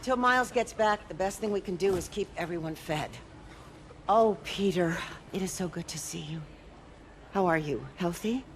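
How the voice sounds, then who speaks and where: A woman's voice: on the low side, moderate pace, a little hiss or hum, natural voice. A woman playing a kindly, stubborn older aunt in a video game, motherly and reassuring. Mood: warm, weary. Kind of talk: naturalistic video game acting.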